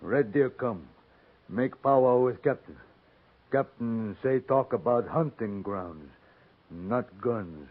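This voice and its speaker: Proud guarded native chief, slow broken english, old muffled radio western sound. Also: male voice, mid-range voice, husky. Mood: guarded, resentful. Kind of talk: 1950s radio western acting. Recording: muffled 1950s radio recording.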